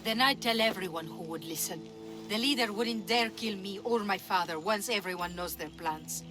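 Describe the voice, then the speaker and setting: Actress playing a low-voiced young Greek noblewoman. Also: normal speed, natural voice. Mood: earnest. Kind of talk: video game cutscene dialogue. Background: music.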